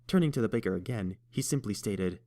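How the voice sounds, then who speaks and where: A man reading fan fiction aloud in a measured storytelling manner. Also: fairly low pitch, expressive, warm tone. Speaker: audiobook reader. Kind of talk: fan audiobook narration.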